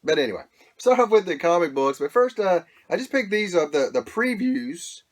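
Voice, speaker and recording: A man's voice: high voice, very animated, normal speed. Chatty man with a lingering cold. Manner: rambling, self-correcting, enthusiastic. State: lingering cold, voice a bit squeaky. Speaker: comic haul vlogger. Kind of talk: casual show-and-tell chat.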